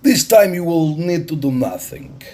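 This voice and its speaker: A man voicing a tall, shrewd, haughty Russian captain in an audiobook, declaiming loudly in drawn-out phrases. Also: fairly high pitch, big pitch swings, pitched above the performer's own voice. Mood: brisk, persuading.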